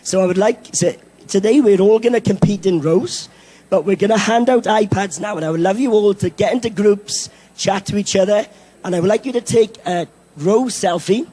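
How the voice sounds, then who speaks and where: A Welsh man who has lost his voice, hoarse, presenting event technology at a conference in an upbeat, self-deprecating way with many fillers. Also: sits high, moderate pace, warm tone, low-quality recording. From Wales. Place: conference hall.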